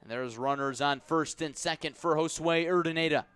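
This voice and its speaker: A man calling a baseball game live as a radio play-by-play announcer, with measured, descriptive delivery that lifts when the action picks up. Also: fairly high pitch, a bit bright, clear, resonant tone. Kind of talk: live sports commentary.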